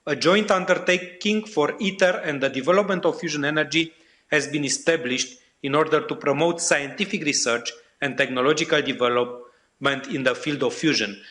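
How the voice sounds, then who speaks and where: Committee chair guy reading his statement, bright tense voice, bit higher. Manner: formal, reading with filler pauses.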